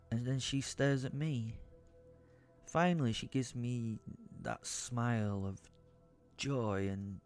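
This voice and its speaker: A low-voiced, brooding male werewolf, played by a man, narrates his own tale in a dark audio drama. He is theatrical and exasperated, pausing for drama, with light music underneath. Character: brooding, foul-mouthed male werewolf, seething with helpless rage.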